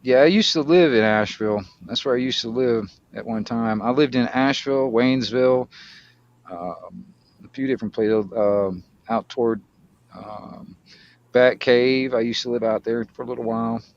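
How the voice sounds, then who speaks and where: A man's voice: mid-range voice, moderate pace, creaky, highs cut off, slight background noise. A middle-aged American man from Florida chatting casually, telling things in a rambling, hesitant way with slangy wording. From Florida, United States. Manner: rambling, hesitant storytelling with slangy, mildly profane speech. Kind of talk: casual chat.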